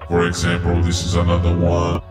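Man through a changer as a deep robotic character. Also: completely flat delivery, a little fast, music in the background. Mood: flat explaining. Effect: pitch-lowering voice changer. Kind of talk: casual software tutorial.

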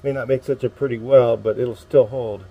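Middle-aged man, relaxed plainspoken welding tips, mid-pitched voice, outdoor camera mic, faint background hum. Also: normal speed, vocal fry, lo-fi sound. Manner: relaxed, plainspoken, talks while working. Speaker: garage welding instructor. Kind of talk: casual hands-on demonstration. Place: outdoor driveway workshop.

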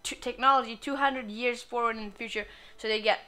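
A woman with a fairly high, bright voice commentates casually over her video game playthrough. She is playful and crude, swearing freely as she goes. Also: fairly even intonation, fairly quick. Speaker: gamer recording a playthrough.

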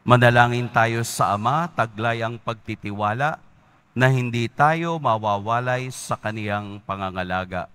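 Priest, man, unhurried measured preaching at mass. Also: medium pitch, fairly bright tone, clear, resonant tone. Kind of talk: homily and mass prayers.

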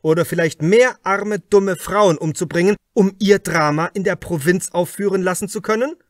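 A man's voice: high voice, moderate pace, no echo, clean studio sound, voice pushed up, natural voice. A man voicing a shrewd, formal detective in an audiobook, pressing a suspect with emphatic, probing phrases. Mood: accusing, forceful. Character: shrewd, insistent male detective confronting a suspect. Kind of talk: audiobook character dialogue.

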